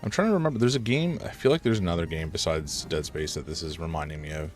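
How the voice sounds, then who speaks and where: A man live-streaming a horror game, rambling through his commentary, chatting with viewers, and reacting loudly to scares, a little congested. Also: low-pitched, fast, soft background music. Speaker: gaming streamer.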